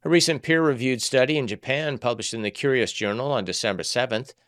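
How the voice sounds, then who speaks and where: A man's voice: mid-range voice, a little fast, a bit bright, no room sound, studio quality. A man reading a scripted news bulletin on the radio in a formal newsreader style.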